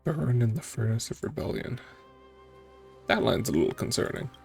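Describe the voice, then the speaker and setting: A man's voice: medium pitch, very animated, normal speed, gravelly, a little mellow, breathy edge. A male streamer giving casual game commentary in musing, questioning asides. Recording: faint game music behind.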